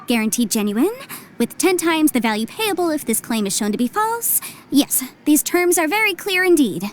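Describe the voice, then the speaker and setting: A woman's voice: high-pitched, big pitch swings, normal speed, a little hiss or hum. A woman voicing a confident young legal advisor in an anime game, precise, formal and courteous. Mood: businesslike.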